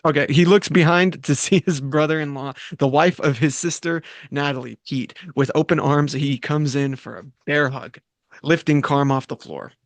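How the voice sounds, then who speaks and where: A man's voice: fairly high pitch, fairly even intonation, normal speed, no echo. A man reading the stage directions aloud at a script table read, with the odd stumble and laugh. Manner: reads stage directions with self-corrections and laughs.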